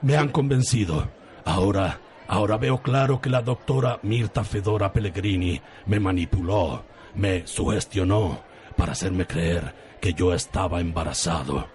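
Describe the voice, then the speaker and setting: A man playing a gullible, solemnly confused soap hero who speaks haltingly and melodramatically in an absurd radio soap. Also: sits low, darkish tone, a little hiss or hum, close to an everyday voice. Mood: relieved, indignant. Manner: halting, melodramatic, repeats himself.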